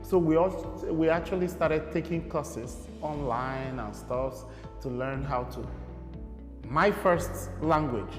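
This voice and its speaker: A man's voice: on the high side, slow, music in the background. A young Nigerian man, a guest in an interview, talking casually and rambling with many fillers. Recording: echoey room with long reverb.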